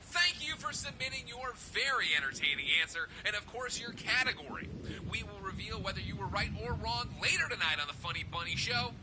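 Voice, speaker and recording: A man's voice: high voice, lively intonation, fairly quick, crowd noise, rough recording. A man playing a polite, formulaic announcer and operator in a game, with formal scripted courtesy. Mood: showy courtesy. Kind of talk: game character acting. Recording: clear background hum and ambience.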